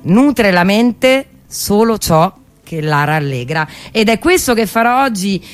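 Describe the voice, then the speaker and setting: A woman radio host with a Roman accent introduces her show over music. Her manner is wry and playfully literary, dropping into colloquial asides. Her pitch sits in a middle range for a woman. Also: very animated, takes it slowly.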